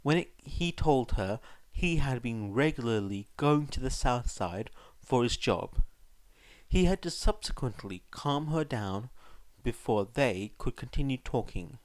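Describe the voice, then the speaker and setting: A man's voice: mid-range voice, animated, measured pace, no room sound. A man reading scripted fiction aloud as an audiobook narrator, on a close microphone.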